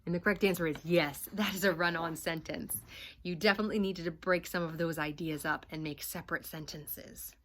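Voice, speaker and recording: A young adult woman, a teacher, reading a picture book aloud to children in an expressive teacher voice. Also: lowish voice, fairly quick, noisy background.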